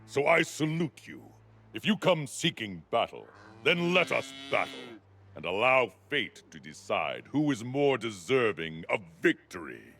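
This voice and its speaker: A man playing a huge, proud, ancient Minotaur in a video game, declaiming slowly and grandly with a gravelly voice. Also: medium pitch, sing-song, fairly bright tone. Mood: bold, challenging. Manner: grandiose, slow theatrical declamation. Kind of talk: video game character dialogue. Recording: game music under the voice.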